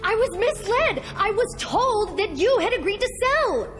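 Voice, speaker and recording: A woman's voice: very high voice, very animated, unhurried, a bit bright, faint music behind, exaggerated voice. A woman playing a shifty swindler who poses as an undercover cop in a video game, with an evasive, bluffing manner. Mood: panicked outrage.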